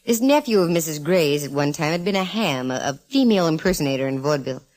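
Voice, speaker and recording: A woman's voice: sits low, animated, fast, darkish tone. An actress playing a breezy, confident, flirtatious female private eye in 1940s radio detective drama style. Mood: breezy, matter-of-fact.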